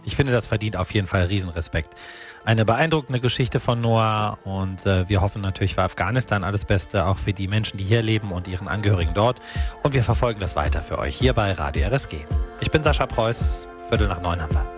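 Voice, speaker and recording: A man's voice: on the low side, fast talker. A man hosting a local radio morning show, telling a news story in a conversational way and adding his own opinions. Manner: conversational, personal opinions mixed into the report. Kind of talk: radio news report with commentary. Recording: radio studio with faint music bed.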